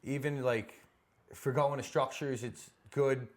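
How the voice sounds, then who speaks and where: A male fire captain chats casually in a mid-pitched voice. He keeps his replies short and agreeable. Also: steady tone, a little slow. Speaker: fire captain, interview guest. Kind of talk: casual chat. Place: firehouse kitchen.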